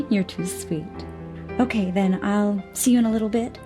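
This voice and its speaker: An actress playing a gentle, affectionate romantic partner in an audio drama, talking casually and tenderly. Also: female voice, medium pitch, a little slow, over music, close to an everyday voice.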